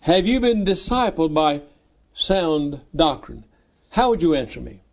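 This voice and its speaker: Ninety-year-old man preaching with slow emphasis. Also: fairly high pitch, big pitch swings, creaky. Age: 90s. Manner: slow, emphatic repetition of key phrases. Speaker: pastor. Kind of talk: sermon.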